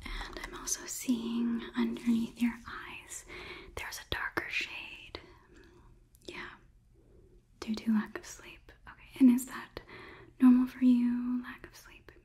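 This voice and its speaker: A young woman doing a whispered ASMR roleplay as a polite, clinical examiner, softly giving instructions up close to the microphone. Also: on the high side, level tone, husky. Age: young adult. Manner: soft, deliberate, instructive. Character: polite, clinical robot overlord examining a human. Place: small indoor room set. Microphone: close microphone, just out of frame.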